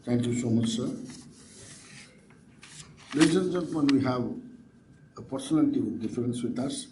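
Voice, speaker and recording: A man's voice: medium pitch, moderate pace, a little mellow, a little hiss or hum, low-quality recording. A man acting as master of ceremonies, introducing a chief guest in an emphatic, ceremonious way. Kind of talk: formal stage announcement.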